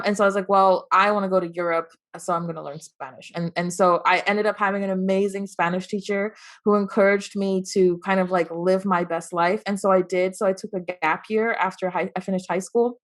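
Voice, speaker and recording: A woman's voice: mid-range voice, flat delivery, brisk. A young woman from Taiwan with an American accent, chatting as an interview guest, laughing often and restarting her sentences. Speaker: guest, entrepreneur. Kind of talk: casual interview chat.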